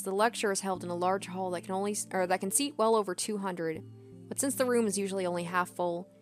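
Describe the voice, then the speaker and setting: A woman narrating a visual novel aloud as a let's player, expressive, with casual commentary. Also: mid-range voice. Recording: background music under the voice. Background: game music.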